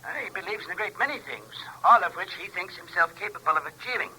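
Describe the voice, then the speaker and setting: High, sharp-voiced psychiatrist on telephone. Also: male voice, expressive, fast, slight background noise, full character voice, low-quality recording. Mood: clinical, deliberate. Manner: hesitant, didactic, formal. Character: precise, scholarly male asylum psychiatrist. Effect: telephone filter. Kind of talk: 1950s radio drama acting. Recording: filtered phone voice, vintage radio recording.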